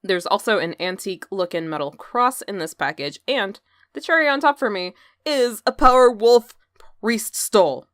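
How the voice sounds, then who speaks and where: A woman hosting a scripted metal news podcast, excitable and jokey, cursing now and then and breaking into loud bursts of enthusiasm. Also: mid-range voice, normal speed, no echo, clean studio sound. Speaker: podcast host.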